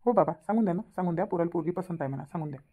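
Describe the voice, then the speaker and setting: A woman's voice: on the low side, breathy edge, narrow, tinny audio. A woman voicing an impatient young adult son in a cartoon, speaking in a hurry with a lilt. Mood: agreeable. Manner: hurried, impatient. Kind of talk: cartoon voice acting.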